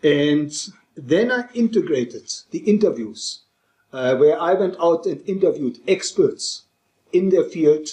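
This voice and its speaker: A man's voice: a little high, lively intonation, takes it slowly, dark tone, echoey room. A man in his fifties from Germany with a German accent, giving a conversational presentation to an audience with many fillers and restarts. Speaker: speaker.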